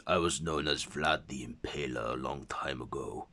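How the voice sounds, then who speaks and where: A man's voice: a bass voice, normal speed, creaky, pushed and bright, voice pushed down, exaggerated voice. A man voicing a proud old vampire prince in a stately, disdainful manner for a dramatic story. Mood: haughty.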